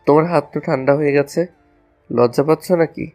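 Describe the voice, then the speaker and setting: Man playing a deep-voiced, teasing young husband, music behind. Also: fairly quick, darkish tone, lightly acted. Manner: teasing, affectionate. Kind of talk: romantic audio story dialogue.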